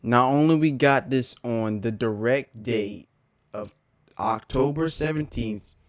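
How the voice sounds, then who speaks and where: A man's voice: medium pitch, slow, no room sound. A man giving casual, slangy reaction commentary as a video host, repeating phrases for emphasis.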